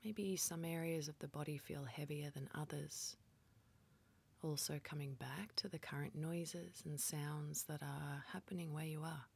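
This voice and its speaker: A woman leading a guided meditation in a soft, whispery, breathy voice, calmly and gently instructing with pauses. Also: deep voice.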